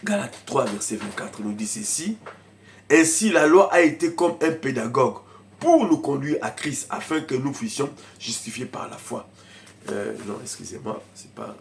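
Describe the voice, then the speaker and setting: A man's voice: medium pitch, vocal fry, a little hiss or hum. Preaching man with a cold. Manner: swings from shouted preaching to quiet murmuring asides; speaks in tongues in bursts. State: has a cold, coughing. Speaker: pastor. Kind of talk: sermon.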